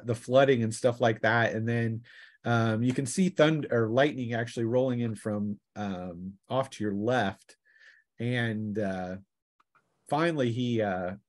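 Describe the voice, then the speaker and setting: A man with a fairly low voice acts as game master for a tabletop roleplaying session. He improvises the narration as he goes. His delivery is halting, with pauses as he searches for the next detail. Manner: halting, trailing pauses while improvising descriptions.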